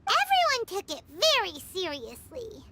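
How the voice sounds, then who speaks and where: A woman voicing a tiny, excitable fairy companion girl in a video game, squeaky and sing-song. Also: a little slow, background noise, full character voice. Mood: impressed. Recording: game soundtrack under the voice.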